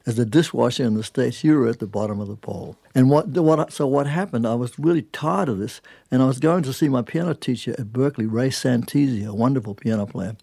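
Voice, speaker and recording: A man's voice: mid-range voice, quick pace, creaky, few pauses, warm tone, breathy edge. An older man from New Zealand, a jazz musician chatting as a studio guest in an emphatic, colloquial way, often breaking off and restarting his sentences. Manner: emphatic, colloquial, self-interrupting. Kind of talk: casual conversational interview, telling anecdotes.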